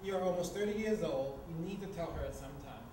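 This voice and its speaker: A man's voice: on the high side, a little fast, natural voice. A man acting an exasperated, sarcastic housemate of about thirty in a staged screenplay reading. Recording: echoey, noisy room from far off.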